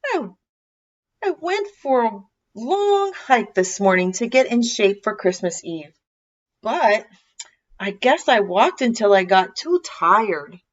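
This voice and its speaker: A gentle, kindly old Father Christmas figure from a storybook, acted out by a woman. The delivery is reassuring and unhurried in manner, and the recording sounds slightly dull. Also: fairly high pitch, sing-song, voice pushed up, full character voice. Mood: apologetic, weary. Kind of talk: children's storybook character voice.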